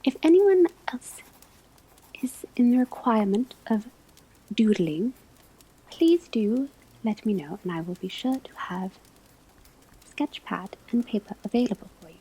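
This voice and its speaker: A woman speaks as a calm teacher at a school of witchcraft in a soft ASMR roleplay. Her voice is very breathy and mellow, often slipping into a whisper. She takes her time, choosing formal, measured phrases with gentle strictness. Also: a little high, rough recording.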